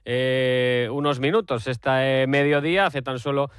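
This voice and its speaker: A man reporting sports news in a fluent, steady way. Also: mid-range voice, measured pace, smooth, dry recording, studio quality. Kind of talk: news commentary.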